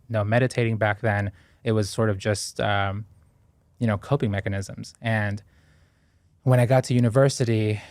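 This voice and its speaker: A man's voice: lowish voice, dry recording. A man in his thirties from Ecuador, a podcast guest talking earnestly and thoughtfully about his past, with frequent fillers and restarts. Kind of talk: reflective interview talk.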